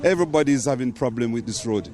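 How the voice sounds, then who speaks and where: A man's voice: mid-range voice, animated, fast talker, dark tone. A man from Jamaica, interviewed outdoors on a handheld mic, earnestly complaining about a bad road. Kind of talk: street interview. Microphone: handheld reporter mic.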